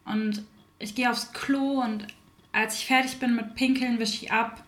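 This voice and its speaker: A woman's voice: on the high side, steady tone, a little slow, roomy sound. A woman voicing an outspoken young mother recounting her birth, in a theater radio play monologue. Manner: forceful, outspoken.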